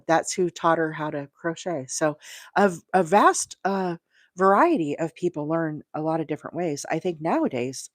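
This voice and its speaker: A woman's voice: deep voice, dry recording, studio quality. A middle-aged woman hosting a casual craft livestream, chatty and thinking aloud as she talks to viewers. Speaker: livestream host and crochet demonstrator.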